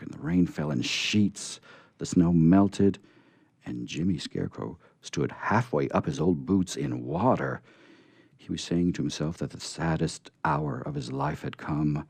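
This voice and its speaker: Male storyteller, low pitch, gravelly creak, dramatic read-aloud delivery, very close dry sound. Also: no room sound. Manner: dramatic, expressive reading.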